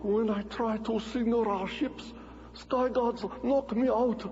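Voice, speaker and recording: An actor playing a servile, cringing spy who speaks halting broken English in a radio drama. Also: male voice, very high-pitched, steady tone, a little mellow, a little hiss or hum. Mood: servile, anxious. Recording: dull, reverberant radio drama recording.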